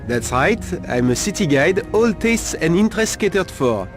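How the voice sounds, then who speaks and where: An actor playing a sleazy, nervous Paris street informant in a video game cutscene, talking in a halting, insinuating way. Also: male voice, fairly high pitch, sing-song, soft background music. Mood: sly, insinuating.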